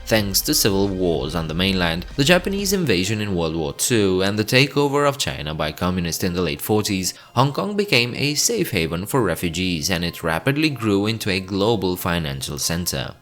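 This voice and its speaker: Deep-voiced man narrating, lively delivery. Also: normal speed, hardly any pauses, faint music behind. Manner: chatty asides to the viewer between facts. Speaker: channel host. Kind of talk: scripted educational narration.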